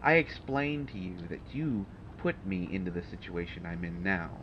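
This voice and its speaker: Man playing a low-voiced, haughty supervillain, noisy recording. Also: lively intonation, normal speed, dull audio. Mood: exasperated, lecturing. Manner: condescending, exasperated, formal wording. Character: haughty, wounded male supervillain mastermind, articulate and prickly. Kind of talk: audio roleplay acting addressed to the listener.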